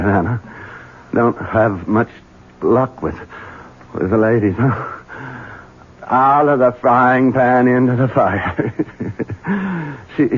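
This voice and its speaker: An actor playing an exasperated, hot-tempered gentleman husband in a radio drama, with a gruff, blustering manner. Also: mid-range voice, heavily acted. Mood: drunk, bitter. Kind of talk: 1970s radio drama acting. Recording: muffled, phone-like old radio broadcast.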